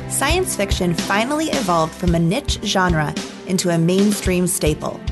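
A woman hosting a podcast, reading scripted narration in a measured, articulate storytelling manner. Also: fairly low pitch. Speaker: podcast host. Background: background music.

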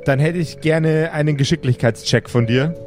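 A man's voice: on the high side, moderate pace, music in the background. A man narrating an improvised tabletop game as game master, searching for words and correcting himself as he describes things.

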